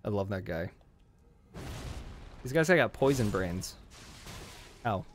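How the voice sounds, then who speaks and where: A man with a hoarse voice, casually commenting on his gameplay and joking at the enemies on screen. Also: on the low side, lively intonation, slow pace, a little hiss or hum. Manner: jokey, talks to enemies on screen. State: hoarse from screaming. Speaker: game streamer.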